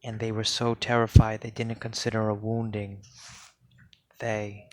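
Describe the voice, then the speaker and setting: Male poetry reader, lowish pitch, monotone delivery, solemn spoken word, measured phrases, deliberate pauses. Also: a little hiss or hum.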